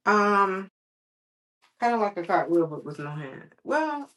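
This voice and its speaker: A woman's voice: medium pitch, very animated, unhurried, a bit bright. A woman reading a children's story aloud expressively, with casual, patient asides to a child.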